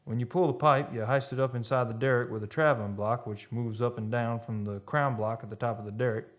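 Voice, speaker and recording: A man acts out a plain-spoken American oil field hand in a radio play. He has a mid-range voice and an easygoing, folksy manner, with rough grammar and a storyteller's way of explaining things. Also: medium pitch, steady tone, fairly quick, natural voice. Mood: matter-of-fact storytelling. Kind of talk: radio play acting and narration.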